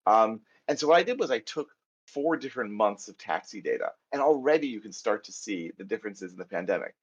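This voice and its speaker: Husky American man teaching code. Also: on the low side, dull audio. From United States. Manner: chatty, self-deprecating, thinks aloud while typing. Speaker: programming instructor. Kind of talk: live coding lecture.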